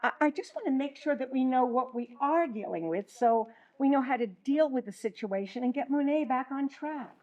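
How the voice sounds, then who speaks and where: A woman playing an earnest, flustered schoolteacher in a stage play, speaking diplomatically with halting repetitions. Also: high voice, lively intonation, darkish tone, muffled recording. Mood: diplomatic. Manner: hesitant, stammering, diplomatic.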